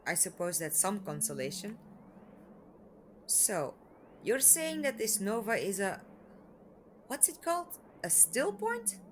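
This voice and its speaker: A woman playing a curious, caring young travelling companion in an audio drama, questioning with gentle concern. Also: medium pitch, sing-song, slow, pauses often, slight background noise.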